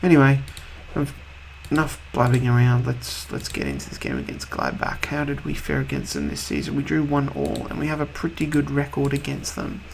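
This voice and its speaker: Husky, gravelly man with a cold. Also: mid-range voice, sing-song, brisk, hardly any pauses, a little hiss or hum, low-quality recording. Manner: rambling, offhand, chatty. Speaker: video game let's-play host. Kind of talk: casual game commentary.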